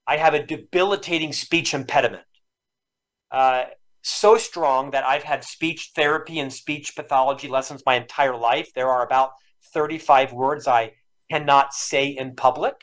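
A man's voice: medium pitch, normal speed, a bit bright. A man in his fifties from Florida, a lifelong stutterer, telling personal stories in an earnest and emotional way as a podcast guest. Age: 50s. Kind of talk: reflective storytelling interview.

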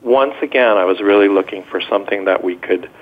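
Male interview guest, very low voice, slight cold, halting and measured storyteller, narrow phone-line sound. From United States, grew up in New York state. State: getting over a cold. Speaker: interview guest, hair-care entrepreneur.

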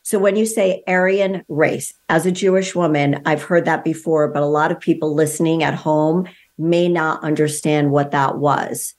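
A woman's voice: low-pitched, steady tone, normal speed, few pauses, a little mellow. A woman hosting an interview, reading the guest's introduction and then asking earnest questions that clarify his points. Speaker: podcast host. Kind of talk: interview host.

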